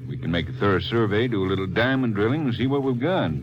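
A man playing a businesslike, measured government mining official in a 1950s western radio drama on an old recording. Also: on the low side, lively intonation, dull audio, noisy background, lightly acted.

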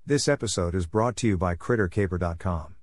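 A man's voice: low-pitched, a little fast, dry recording, studio quality. A man hosting a classic radio podcast, reading his introduction and a sponsor message in a friendly, earnest way. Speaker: podcast host.

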